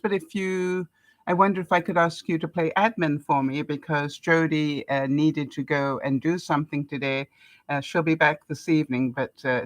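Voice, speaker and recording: An older woman from Canada with a stuffed-up nose, hosting a casual live-stream chat and often pausing with ums and small laughs. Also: low voice, no room sound. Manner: chatty, with frequent ums and soft laughs. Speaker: vlogger hosting a live broadcast.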